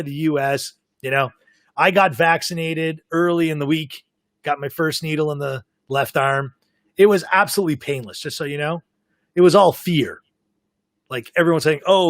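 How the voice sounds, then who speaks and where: Chatty guy hosting a live podcast, higher voice, really dry sound. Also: a bit bright, no room sound. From Canada. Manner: talkative, self-described big mouth. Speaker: podcast host. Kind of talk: casual live podcast chat. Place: home in South Florida.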